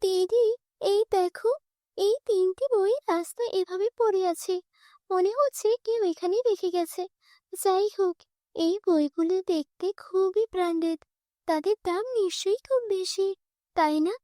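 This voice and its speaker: A little orphan girl from a fairy-tale cartoon acted by a woman, innocent and plaintive. The voice is very high and childlike, with a dry, close sound. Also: measured pace, mellow, no echo. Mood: curious. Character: small, innocent, plaintive orphaned younger sister.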